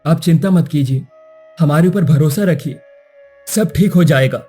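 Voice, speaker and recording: Casting: man as noble, kindly young prince for cartoon tale; soft dark tone, slightly rough, formal delivery. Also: on the high side, measured pace, faint music behind. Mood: reassuring. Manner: formal, courteous, reassuring. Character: kind, earnest, protective young prince. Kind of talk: Hindi animated moral-story acting.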